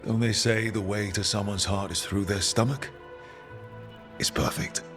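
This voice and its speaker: An actor playing an easygoing young rebel hero in a fantasy game, speaking in a casual, reassuring way. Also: male voice, on the low side, fairly even intonation, unhurried, over music, natural voice. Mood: teasing. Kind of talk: game dialogue acting.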